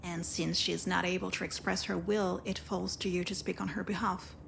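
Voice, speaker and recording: An actress playing a serious, composed female doctor in a video game, speaking in a formal, measured, clinical manner. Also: on the low side, level tone, gravelly, a little hiss or hum. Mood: grave, firm.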